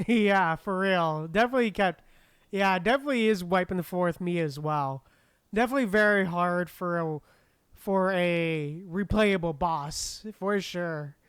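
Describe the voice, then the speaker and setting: A woman's voice: fairly low pitch, unhurried, smooth, dry recording, clean studio sound. A woman chatting casually while livestreaming a game, laid-back, with murmured asides. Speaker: game streamer. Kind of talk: casual livestream chat over gameplay. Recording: game music behind voice.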